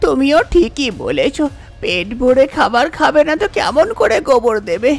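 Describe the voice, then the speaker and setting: A scheming village mother-in-law in a cartoon folk tale, acted by a woman. She coaxes and insists with a lively, swinging melody in her voice, and music plays underneath. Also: high-pitched, normal speed, gravelly, full character voice. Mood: coaxing. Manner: coaxing, insistent.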